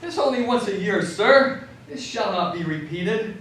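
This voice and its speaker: Male actor as meek office clerk, apologetic, deferential, raised pitch, radio drama, very echoey stage. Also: animated, a little slow, a bit bright. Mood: apologetic, nervous. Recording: distant echoey stage, faint noise.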